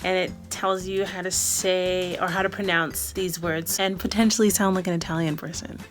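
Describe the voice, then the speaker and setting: A woman's voice: fairly low pitch, level tone, moderate pace, soft background music. A woman, an opera singer, talking to camera in a chatty vlog style and laughing often.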